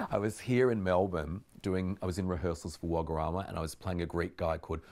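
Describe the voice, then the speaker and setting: Deep-voiced man, forties, actor guest, animated storytelling, frequent laughs, indoor interview. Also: brisk. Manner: animated, laughs often, gestures with his hands. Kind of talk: casual interview chat. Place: living room with bookshelves, indoors.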